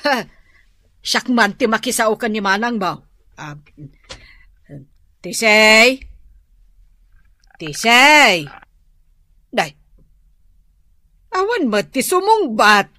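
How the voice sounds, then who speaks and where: A woman playing a fretful, nagging mother who longs for a grandchild, husky and insistent, in an Ilocano radio drama. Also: a little high, big pitch swings, extremely slow, pauses often, bright, forceful tone, dry recording, exaggerated voice. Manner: insistent, worrying.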